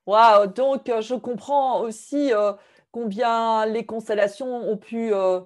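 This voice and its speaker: Female interview host, fairly high voice, effusive and appreciative, semi-formal delivery. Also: steady tone, takes it slowly, darkish tone. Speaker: interview host and constellation trainer.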